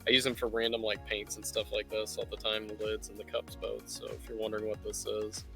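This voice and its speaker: Bright-voiced man hosting a casual tutorial. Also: on the low side, completely flat delivery, a little fast, gravelly. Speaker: host of a livestream painting tutorial. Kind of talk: casual live tutorial commentary. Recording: music playing behind the voice. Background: music.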